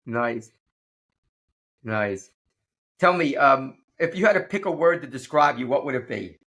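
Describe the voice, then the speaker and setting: A man hosting a casual leadership conversation, upbeat and quick to laugh, often restarting his sentences. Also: medium pitch, expressive, a bit bright. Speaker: podcast host.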